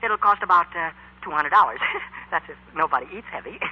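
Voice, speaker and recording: An actor playing an eager, excitable high school bandleader, breathless and stammering, in 1940s radio comedy-drama style. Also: male voice, brisk, noisy background, lightly acted. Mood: eager, hesitant. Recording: muffled old 1940s radio broadcast.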